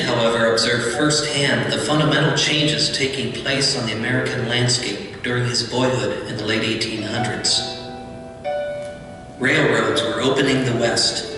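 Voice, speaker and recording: Man narrating, very echoey and far off. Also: medium pitch. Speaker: documentary narrator. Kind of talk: scripted documentary narration. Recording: distant echoey hall playback, music behind. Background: music.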